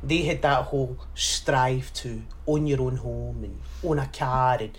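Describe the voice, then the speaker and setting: A woman in her forties speaks with a Glasgow accent, chatting as a guest. She tells lively stories and slips into other people's voices as she goes. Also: a bass voice, measured pace. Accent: Glaswegian. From Scotland. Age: 40s. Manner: animated storyteller who acts out other voices. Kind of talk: casual interview chat.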